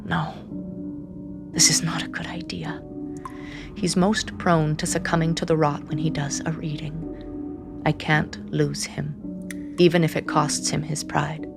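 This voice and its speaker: A devoted, anxious sister telling a dark fantasy tale in the first person. The woman's voice sits very low and crackles with vocal fry. She narrates with measured intensity over prominent music. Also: little pitch movement, in a whisper. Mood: fearful, worried. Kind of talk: dramatic scripted narration.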